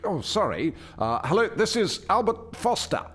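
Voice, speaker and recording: A man's voice: high voice, very animated, normal speed, a bit bright, slightly rough, exaggerated voice. An actor playing an earnest alien scientist in a radio play, hesitant and deferential as he explains and defends his work. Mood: flustered.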